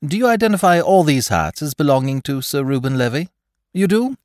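A man reading aloud, voicing a flippant, excitable aristocratic amateur detective. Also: fairly quick, dry recording, clean studio sound. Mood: playful. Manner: flippant, theatrical, high-spirited.

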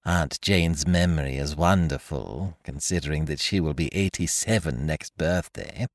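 A man voicing a mournful middle-aged wife who speaks in a hushed whisper. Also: very deep voice, normal speed, dry recording, clean studio sound, pitched below the performer's own voice.

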